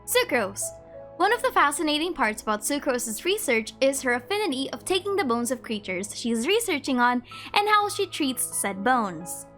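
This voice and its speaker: A woman with a high voice and a lively, swooping delivery narrates game trivia over faint music. She keeps it chatty and playful, slipping casual asides into her script. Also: moderate pace. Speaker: host of a game trivia video.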